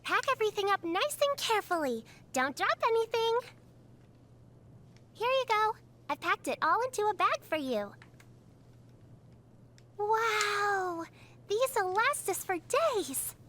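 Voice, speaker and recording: A woman's voice: extremely high pitch, very animated, measured pace, long pauses, pushed and bright, slight background noise, full character voice. A woman voicing a cheerful, helpful young girl in a fantasy game.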